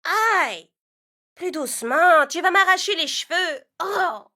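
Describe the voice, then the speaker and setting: Shrill, spoiled, bossy young stepsister. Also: female voice, animated, takes it slowly, pushed and bright, full character voice. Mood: whiny, pained. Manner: shrill, whining, demanding. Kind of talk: children's storybook dramatization.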